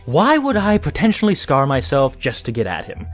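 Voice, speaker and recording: A man's voice: medium pitch, huge pitch swings, normal speed, soft background music. A man playing an indignant, insistent stallion royal guard in a dramatic audio drama, feigning loyalty while scheming.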